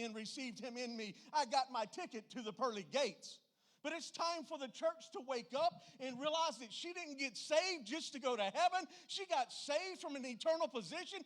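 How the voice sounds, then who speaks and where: High-pitched Southern US preacher man. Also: fairly bright tone. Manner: folksy, plainspoken, emphatic. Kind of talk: impassioned church sermon.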